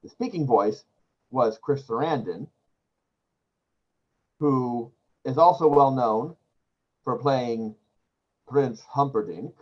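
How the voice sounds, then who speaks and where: A man with an average-pitched voice talks casually in a group, sharing trivia. His audio is a little dull. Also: slow, long pauses. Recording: slightly muffled call audio.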